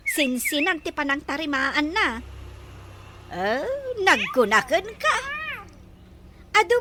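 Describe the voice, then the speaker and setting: A woman's voice: high voice, heavy background noise. A female actor playing a plaintive, put-upon village daughter in a radio drama, whining and exclaiming with an Ilocano accent. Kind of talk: Filipino radio drama acting.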